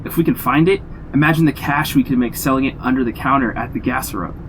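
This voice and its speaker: A man's voice: medium pitch, quick pace, slight background noise, close to an everyday voice, poor audio. An actor playing a cocky, reckless young man in an audio drama, brash, teasing and slangy. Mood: cocky, eager.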